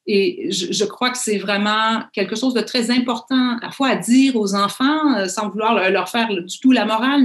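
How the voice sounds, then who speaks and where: A woman with a Québécois French accent, a children's author answering interview questions reflectively, often restarting her sentences. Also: medium pitch. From Canada. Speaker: children's author and pilot guest. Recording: echoey room.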